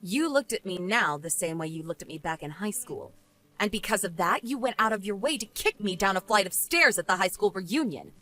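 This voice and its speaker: A woman's voice: medium pitch, lively intonation, fairly quick, sharp tone. A woman playing a smug, vengeful wife and former bullying victim in scripted story dialogue, taunting and sarcastic. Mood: smug reproach.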